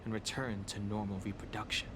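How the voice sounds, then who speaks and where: A man voicing a solemn, reserved young dragon warrior in a video game, measured and serious. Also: fairly low pitch, flat delivery, close to an everyday voice. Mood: pensive. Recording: game capture with loud noise.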